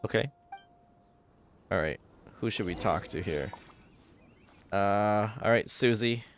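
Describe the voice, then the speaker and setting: A woman's voice: very deep voice, slow, a little hiss or hum. A woman giving casual, wry gameplay commentary, reacting in a laid-back way as she plays. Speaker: gaming video creator playing and reacting.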